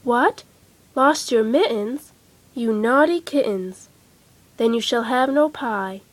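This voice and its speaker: A woman's voice: a little high, slow. A woman voicing a strict but loving mother cat in a nursery rhyme, with emphatic, motherly delivery. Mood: scolding. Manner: emphatic scolding and praising.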